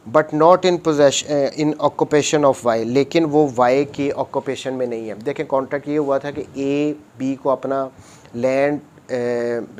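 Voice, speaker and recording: A male lecturer with a Pakistani accent teaches at a very measured, slow pace. His pitch sits in the middle for a man. He reads formal English text and explains it in Urdu mixed with English, going back over each point methodically. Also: darkish tone. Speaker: law lecturer. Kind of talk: explanatory lecture, reading statutes aloud and explaining them.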